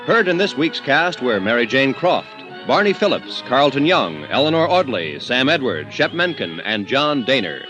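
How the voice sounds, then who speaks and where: A man's voice: medium pitch, big pitch swings, moderate pace, hardly any pauses, rough recording. A man announcing credits for a 1950s radio drama in a formal, declamatory manner. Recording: old radio with music bed.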